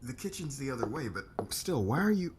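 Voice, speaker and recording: A man's voice: medium pitch, sing-song, fairly quick, noisy background, natural voice. A man playing an awkward, hesitant and bemused businessman at home in an audio roleplay.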